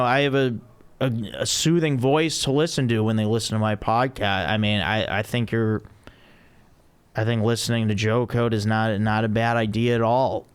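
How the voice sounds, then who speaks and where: A man's voice: mid-range voice, clean tone. A man hosting a casual podcast, chatting easily and reading a listener's email aloud. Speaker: podcast host. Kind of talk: casual chat.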